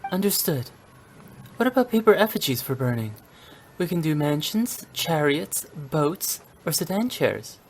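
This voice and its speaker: A young woman funeral director speaks in a notably low register for a video game. She is composed and businesslike, laying things out in measured, precise phrases. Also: very animated, slight background noise, full character voice. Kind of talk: English video game voice acting.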